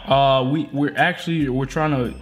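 A man's voice: medium pitch, fairly even intonation, normal speed, slight background noise. A young American man with a slangy way of talking, chatting casually and making prank calls as a vlog host. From Atlanta, United States. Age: young adult. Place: living room at home.

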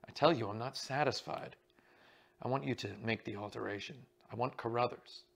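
A man's voice: mid-range voice, moderate pace, creaky, a bit bright. A man voicing a nervous, resentful male actor who hesitates and stammers as he talks. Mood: tense.

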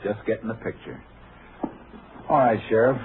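Calm Texas Ranger, deep and terse, 1950s radio drama, noisy narrowband recording. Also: male voice, moderate pace. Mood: calm, probing. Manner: terse, matter-of-fact questioning. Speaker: lead actor in radio drama.

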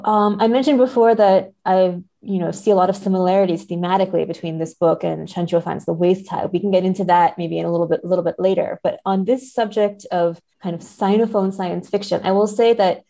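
Woman, slightly low pitch, gravelly fry, explanatory, thoughtful podcast conversation. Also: fairly even intonation, a little fast, hardly any pauses, dark tone. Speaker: podcast guest, literature scholar.

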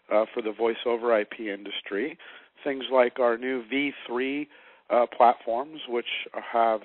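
An American man answering interview questions as a sales manager, measured and pausing often as he explains. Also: medium pitch, no echo. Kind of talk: interview answers about products. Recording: narrow muffled phone line.

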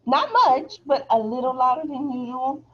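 A soft-spoken woman teaching a relaxed phlebotomy review lecture over a video call, chatty and laughing easily. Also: high-pitched, measured pace, breathy edge. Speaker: phlebotomy instructor. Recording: slightly dull video call audio.

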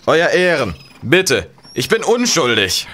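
A man's voice: on the high side, sing-song, slow, sharp tone, exaggerated voice. Panicked condemned man, wildly pleading. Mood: desperate pleading. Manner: pleading, desperate. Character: panicked condemned man begging for his life. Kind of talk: audio drama acting.

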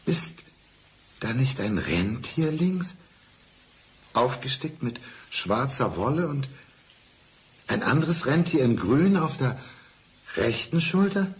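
An actor playing an intense, supposedly blind man clinging to his ex with false calm, in a deliberate, emphatic radio crime drama style. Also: medium pitch, a little hiss or hum. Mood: probing, intense.

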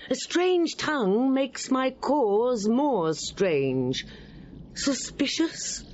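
Slow, expressive proud queen. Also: sits high, muffled recording, heavily acted. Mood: proud, defiant. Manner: formal, measured, emphatic. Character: proud, wary wronged queen, grave and pleading. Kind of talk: Shakespearean radio drama acting.

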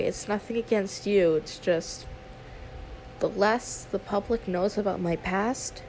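A woman's voice: medium pitch, creaky, a little mellow, slight background noise, natural voice, poor audio. A woman playing a friendly, trusting young female YouTuber in a conversational ASMR roleplay, chatty and confiding with some hesitation. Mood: friendly, hesitant. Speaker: roleplay audio performer.

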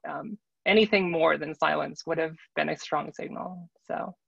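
Creaky, bright-voiced panelist. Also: medium pitch, normal speed, no echo. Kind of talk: reflective panel discussion. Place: indoor room, video call. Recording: dull video call audio.

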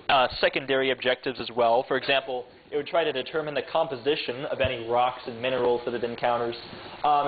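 A young man giving an informal slide talk to a club in a classroom, holding a handheld mic, chatty and full of fillers. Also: mid-range voice. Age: young adult. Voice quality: loud voice. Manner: loose and chatty, many fillers. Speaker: club speaker. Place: classroom with projector screen. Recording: tinny lo-fi, far from camera.

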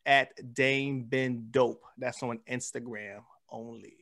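A man's voice: mid-range voice, steady tone, slow pace, vocal fry, a bit bright. An American man hosting a podcast in casual chat, slangy and laid-back. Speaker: podcast host. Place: indoors, remote video call.

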